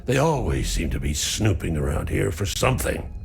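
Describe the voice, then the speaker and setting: An actor playing a weary, grieving older father in a video game, speaking in a slow, sombre, measured way. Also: low-pitched, big pitch swings, vocal fry, background noise, exaggerated voice. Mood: resigned, helpful.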